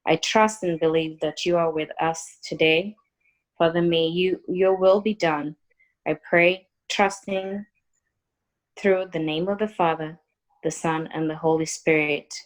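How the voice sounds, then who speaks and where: A woman leads a spoken prayer over a video call, earnest and reverent. Her voice sits very low. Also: flat delivery, unhurried, low-quality recording. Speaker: prayer leader.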